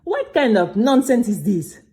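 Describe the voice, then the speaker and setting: A woman's voice: a little high, big pitch swings, measured pace, dark tone. Woman putting on an indignant, bossy male board chairman. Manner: indignant, commanding.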